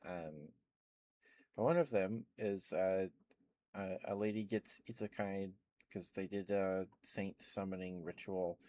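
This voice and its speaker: A man chatting softly and rambling with many fillers into a close mic with a fuzzy cover, as an ASMR streamer at home. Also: low-pitched. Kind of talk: soft rambling ASMR chat.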